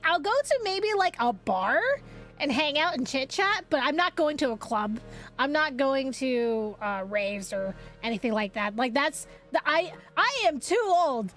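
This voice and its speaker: A young woman with a high voice talks to her livestream chat in a loose, rambling way, full of fillers and restarts. She sounds a little sniffly from a cold. Faint music plays quietly under her desk-mic voice. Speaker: VTuber streamer.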